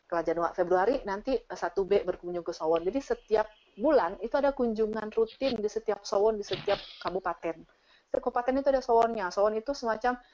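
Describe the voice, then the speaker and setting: A woman giving casual, animated explanations as she answers audience questions on an online call. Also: mid-range voice, fast. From Indonesia. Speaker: teacher and speaker sharing her experience. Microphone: online video call. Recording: dull, lo-fi online meeting audio.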